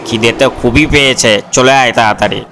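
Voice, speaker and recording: A man's voice: mid-range voice, steady tone, fast talker, a bit bright, slight background noise, natural voice. A man playing a hungry, vengeful tiger in a puppet story, threatening and gloating. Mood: hungry menace. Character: hungry vengeful male tiger, menacing predator. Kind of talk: puppet story character acting.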